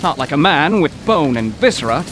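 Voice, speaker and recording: An actor playing an excitable, wordy poetry professor in a comic mystery audio drama, florid, overwrought and prone to stammering. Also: male voice, fairly high pitch, moderate pace, faint music behind. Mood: agitated.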